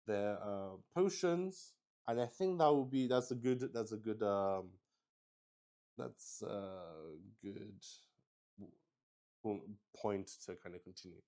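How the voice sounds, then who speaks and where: Male streamer, lower-pitched, unhurried and rambling; chatty, hesitant explainer for a tabletop roleplaying livestream. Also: darkish tone. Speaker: streamer playing solo tabletop RPG.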